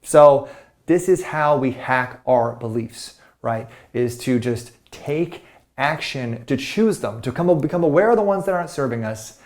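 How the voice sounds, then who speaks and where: A man's voice: mid-range voice, lively intonation, a little slow. A young man speaking as a life coach, giving an enthusiastic, conversational motivational talk full of "right?" and "you know".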